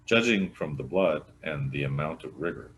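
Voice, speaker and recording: A man's voice: rumbling low, animated, moderate pace, a bit bright, slight background noise. A man voicing a plain-spoken, slightly impatient deputy in a mystery storybook. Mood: matter-of-fact.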